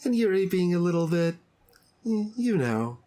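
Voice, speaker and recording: A woman playing a sweet, earnest high school club president in a visual novel, speaking in a gentle, hesitant, confiding way. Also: sing-song, moderate pace. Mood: gentle concern. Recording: music behind the voice. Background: background game music.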